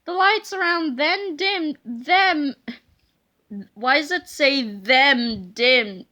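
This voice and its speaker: A woman narrating a children's book aloud in an expressive, dramatic way. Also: sits high, drawn-out words.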